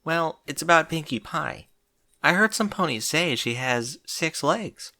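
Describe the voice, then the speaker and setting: Man doing an anxious, high-pitched bookish girl unicorn. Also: animated, unhurried, pushed and bright, heavily acted. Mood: worried, embarrassed. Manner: earnest, fretful, explanatory. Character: bookish, anxious young female unicorn, earnest worrier.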